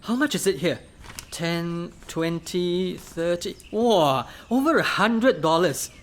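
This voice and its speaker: A woman's voice: lowish voice, animated, slow pace. A woman playing a coaxing, insistent young office worker in a radio play, with a Singaporean accent. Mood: persuasive. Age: young adult. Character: earnest, persuasive young working adult child, office employee.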